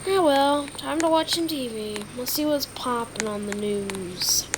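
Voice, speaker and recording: A woman performing an amateur scripted emergency roleplay, halting and often repeating phrases, with read-out announcements. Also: high-pitched, noisy background. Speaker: creator performing a hobby emergency alert roleplay.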